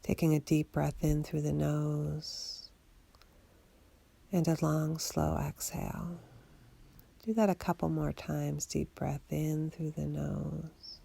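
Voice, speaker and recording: A woman leads a guided relaxation in a low voice with very heavy creak. She gives gentle instructions without hurry, soothing and steady. The delivery stays very even in pitch. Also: slow. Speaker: meditation guide. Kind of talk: guided body scan meditation for sleep.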